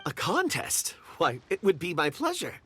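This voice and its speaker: A man voicing a polite, eager and slightly puzzled young assistant in a video game, with an expressive delivery. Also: fairly high pitch, moderate pace. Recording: music behind the voice.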